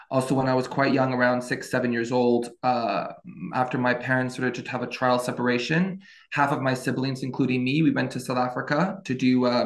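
Gravelly-voiced hesitant young man. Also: medium pitch. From France. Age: 20s. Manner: hesitant, many fillers and restarts. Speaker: podcast guest. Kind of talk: casual interview storytelling.

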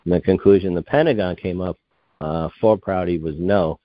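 Deep-voiced man in his fifties, rambling. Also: moderate pace, muffled recording. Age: 50s. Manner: meandering, self-correcting, digressive. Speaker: guest researcher. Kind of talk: rambling conversational interview.

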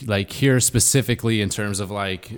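A man hosting a casual interview, relaxed, swearing freely and laughing often. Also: fairly low pitch, moderate pace, clear, resonant tone. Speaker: podcast host.

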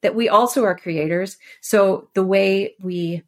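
A woman's voice: mid-range voice, unhurried. A woman scholar speaking as a podcast guest, explaining ideas thoughtfully and conversationally, often repeating words as she works out a thought.

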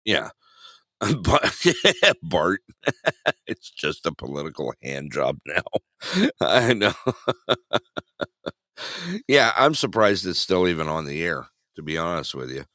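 Male host, deep voice, bright tone, casual rambling, dry close sound. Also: very animated, slow, pauses often, no room sound. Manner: rambling, chuckling, reads viewer chat. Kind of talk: casual live-stream chat.

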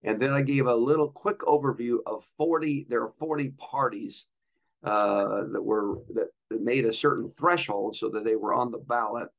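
Creaky-voiced man hosting an interview. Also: mid-range voice, normal speed, muffled recording. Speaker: podcast host; pastor. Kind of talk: conversational interviewing.

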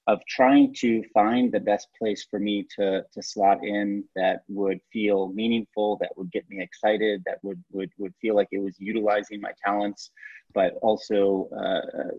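A man's voice: fairly low pitch, slightly rough, no echo. A man from Western New York in his thirties, a guest talking over a call, thoughtful and hesitant with frequent ums and restarts. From Western New York, USA. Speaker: guest, startup CEO. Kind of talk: relaxed interview answers. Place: remote call from home or office. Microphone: call audio. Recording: muffled lo-fi call audio.

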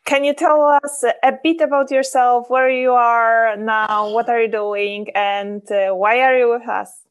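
Bright-pitched, enthusiastic woman podcast host. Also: fairly even intonation, unhurried, clear, resonant tone. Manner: enthusiastic, with loose non-native phrasing. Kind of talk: casual podcast chat.

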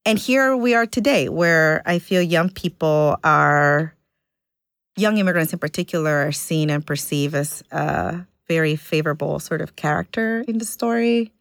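Low-voiced earnest woman organizer. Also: a bit bright, clear, resonant tone, no echo. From Ecuador. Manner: earnest, thoughtful, halting with fillers. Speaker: immigrant community organizer. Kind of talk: reflective interview conversation.

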